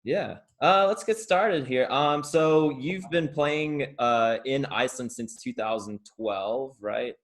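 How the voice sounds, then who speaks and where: A man hosts a podcast in an ordinary mid-pitched voice. He asks his questions hesitantly, with many pauses and restarts, over a video call. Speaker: podcast host. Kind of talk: casual podcast interview.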